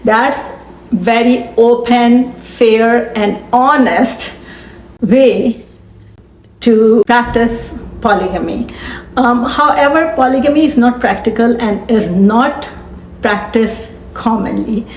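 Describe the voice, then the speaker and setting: A woman lays out her case in earnest, firm commentary, stopping to reason through each point. Her voice sits somewhat high. The room around her gives a clear echo. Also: slow, a little hiss or hum. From Pakistan. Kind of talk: opinion commentary to camera.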